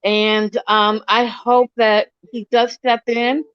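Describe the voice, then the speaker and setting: A woman's voice: a little high, little pitch movement, takes it slowly, smooth, no room sound, lo-fi sound. A woman from Florida, speaking eagerly at an online protest. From Florida, USA. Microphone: video call.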